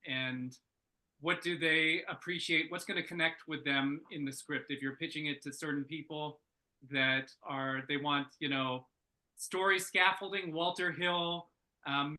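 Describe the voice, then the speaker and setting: A man working as a story editor, giving thoughtful spoken feedback in a panel discussion with tentative pauses. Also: on the high side, steady tone, sharp tone, low-quality recording.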